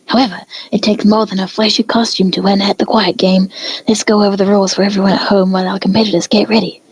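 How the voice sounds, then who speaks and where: A woman's voice: medium pitch, little pitch movement, fast talker, a little mellow, slightly rough, close to an everyday voice, rough recording. A woman voicing chatty pony sports commentators calling a contest in a story reading. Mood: upbeat, announcing.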